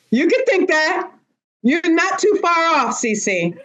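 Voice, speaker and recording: Slow-talking woman hosting a podcast. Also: very high voice, fairly bright tone, highs cut off. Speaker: host of a discussion panel. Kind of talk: casual podcast discussion.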